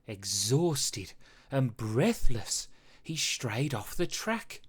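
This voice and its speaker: A storybook narrator reads rhyming verse for children. The delivery is unhurried and full of dramatic swings, with expressive phrasing throughout. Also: medium pitch, husky. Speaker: storybook reader. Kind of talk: children's read-aloud narration in rhyme.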